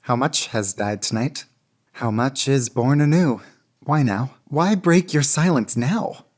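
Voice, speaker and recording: A devoted noblewoman in a period radio drama. Her voice is strikingly deep for a woman. She speaks formally and earnestly, carrying long grief and love. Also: expressive, measured pace, a little mellow, exaggerated voice. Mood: dawning anguish. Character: grieving, devoted noblewoman, long-time beloved of a poet. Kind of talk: radio theater acting.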